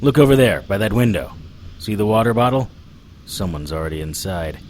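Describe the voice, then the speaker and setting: An actor playing a hard-bitten, stubborn private investigator in an audio drama, with a curt, sardonic way of talking. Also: male voice, lowish voice, lively intonation, fairly quick, darkish tone, slight background noise, lightly acted. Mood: wry, businesslike. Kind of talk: audio drama acting with first-person narration.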